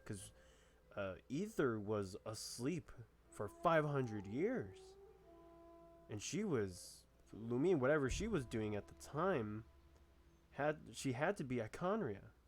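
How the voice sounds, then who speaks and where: A man's voice: mid-range voice, sing-song, slow pace. Man rambling over gameplay. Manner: rambling, trails off and restarts a lot. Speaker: gamer commentating a playthrough. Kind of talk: casual gameplay commentary.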